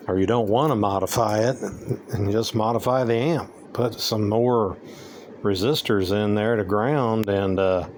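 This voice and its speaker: Low-voiced, folksy man over noisy background. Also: darkish tone. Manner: folksy, plainspoken, informal grammar. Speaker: hobbyist presenter demonstrating radio gear. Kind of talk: casual hands-on demonstration.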